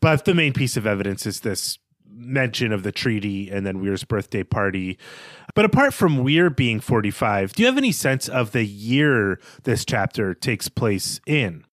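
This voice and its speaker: A man's voice: fairly low pitch, big pitch swings, moderate pace, fairly bright tone, dry recording. A man co-hosting a podcast, talking through a book in a conversational, analytical way. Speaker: podcast co-host. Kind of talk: casual literary discussion.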